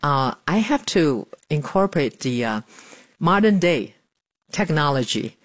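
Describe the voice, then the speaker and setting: A woman's voice: deep voice, sing-song, dry recording. Older woman, earnest and plain. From Taiwan. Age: 70s. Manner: earnest, plain-spoken, with pauses and fillers. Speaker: interviewee. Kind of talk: documentary interview. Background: music.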